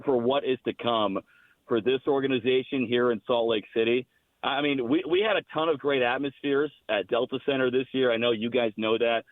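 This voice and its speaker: A man's voice: medium pitch, no echo, phone-quality sound. A man who works as a hockey radio announcer, chatting as an interview guest in an enthusiastic, self-deprecating, joking way. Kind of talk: casual radio interview chat.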